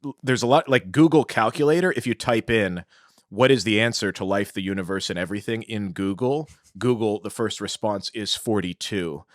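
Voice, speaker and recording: A man's voice: lowish voice, moderate pace, no echo, clean studio sound. A man co-hosting a podcast, explaining things in an informative, conversational way. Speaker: podcast co-host.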